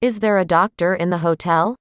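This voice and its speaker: A woman reading short phrases aloud slowly and carefully for language learners, saying each one twice. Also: on the low side, clear, resonant tone, no echo, clean studio sound. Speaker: language lesson reader.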